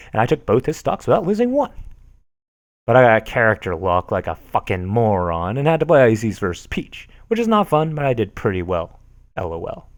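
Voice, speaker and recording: Male streamer reading forum posts, animated delivery, dry recording. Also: medium pitch, no echo. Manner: animated, sarcastic, laughs at what he reads.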